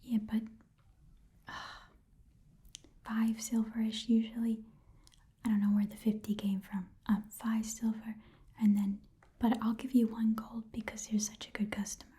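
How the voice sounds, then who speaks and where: A woman voicing a chatty, haggling goblin pawn shop keeper in a soft whispered ASMR roleplay, muttering and repeating words to herself. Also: a little high, little pitch movement, normal speed, pauses often, close to an everyday voice. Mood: reluctant, haggling.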